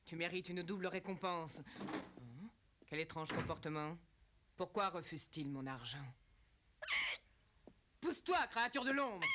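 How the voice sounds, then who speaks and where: Woman as low, imperious evil cartoon queen. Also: background noise, natural voice. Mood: gloating, suspicious. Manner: commanding, haughty, menacing. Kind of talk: French-dubbed animated fairy tale. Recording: loud music under the voice.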